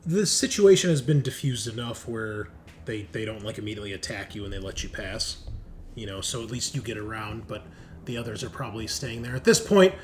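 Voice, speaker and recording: A man's voice: on the low side, expressive, fairly quick. Male game master over noisy background. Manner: conversational, explains rules and scenes. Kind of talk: improvised tabletop roleplay narration. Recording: home recording with clear background noise.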